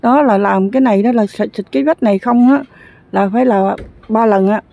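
Woman, casual vlog, rambling site-tour talk, slightly high, mellow tone, outdoors on a phone. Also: a little fast, vocal fry, highs cut off. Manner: chatty, rambling, many fillers. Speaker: vlogger touring a construction site. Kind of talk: casual walking commentary.

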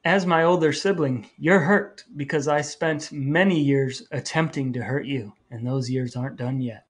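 A man's voice: medium pitch, moderate pace. A man co-hosting a casual podcast, talking in a playfully wordy, mock-formal way. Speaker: podcast co-host. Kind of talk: casual podcast talk between brothers.

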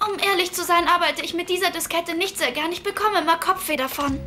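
A woman's voice: extremely high pitch, fast, bright, forceful tone, soft background music. A woman playing a polite, modest, studious teenage schoolgirl in an anime audio drama. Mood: subdued, honest.

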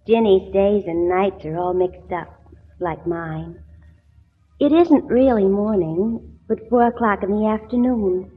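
An actress in an old radio drama playing a wistful, resigned young invalid in a tenement, speaking in an earnest, measured way. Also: female voice, mid-range voice, breathy edge. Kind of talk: 1940s radio drama acting. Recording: muffled old radio recording, music behind.

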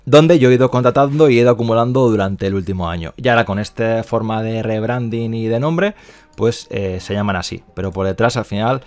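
A man's voice: on the low side, a little mellow. A man with an accent from Spain giving casual, explanatory screen-share commentary as a video presenter, often repeating himself and checking in with little tag questions. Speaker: video presenter promoting crypto mining investments.

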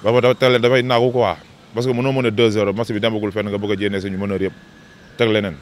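Opinionated male fan, quick delivery, outdoor street, handheld mic. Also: on the low side, flat delivery, a little hiss or hum. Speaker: football fan interviewed. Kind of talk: street interview.

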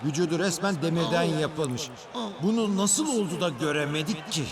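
A man's voice: fairly high pitch, normal speed, a crowd in the background, lightly acted. A man playing an earnest, comic wrestling co-commentator in a cartoon dub. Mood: amazed. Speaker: sports co-commentator. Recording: music behind the voice.

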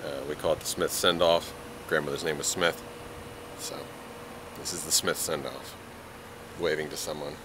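A man in his thirties talking casually to a handheld camera at arm's length, relaxed and rambling. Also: a bass voice, normal speed, background noise. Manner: relaxed, rambling, self-deprecating. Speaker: vlogger. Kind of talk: casual vlog talk to camera. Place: porch and living room of a house, then dark room.

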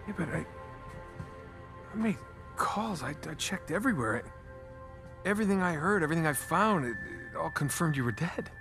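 An actor playing an earnest American adventurer in his thirties in a video game, speaking informally with halting stammers. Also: on the high side, expressive, fairly bright tone, faint music behind. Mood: distressed. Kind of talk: naturalistic cinematic game acting.

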